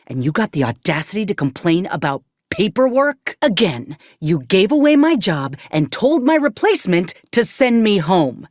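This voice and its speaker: A woman's voice: mid-range voice, no room sound, natural voice. An actress playing a plainspoken, stubborn woman soldier in a video game, with folksy, dry, blunt phrasing. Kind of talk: video game character dialogue.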